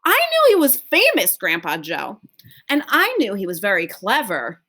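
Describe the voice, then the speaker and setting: A woman's voice: mid-range voice, huge pitch swings, normal speed, pushed and bright, exaggerated voice. A woman reading a children's story, voicing a curious, polite little boy who asks things earnestly. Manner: questioning, earnest.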